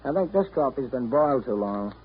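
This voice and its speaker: A man's voice: mid-range voice, expressive, a little fast, slightly rough. An actor playing a lazy, self-absorbed, dryly sardonic man in 1930s radio drama style. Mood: idle. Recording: dull 1930s radio recording.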